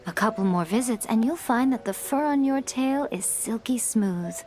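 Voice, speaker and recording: A woman voicing an elegant, cryptic fox woman in a video game, with a playful, teasing, unhurried manner. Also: a little high, expressive, a little mellow, natural voice. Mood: sly teasing. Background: music.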